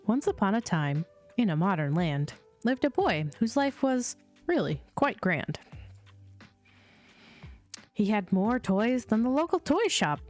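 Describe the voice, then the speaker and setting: A woman narrates a children's bedtime story at an unhurried pace. Her pitch moves in a lively, sing-song way as she reads the lines aloud. Soft music plays behind her. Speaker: host reading children's bedtime stories. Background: chill music.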